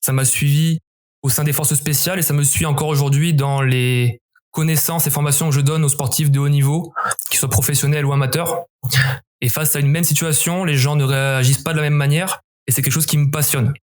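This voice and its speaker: A young adult man from southern France, a former special forces member, answering interview questions in a measured, reflective way with frequent restarts. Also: fairly high pitch, steady tone, darkish tone. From southern France, near Montpellier.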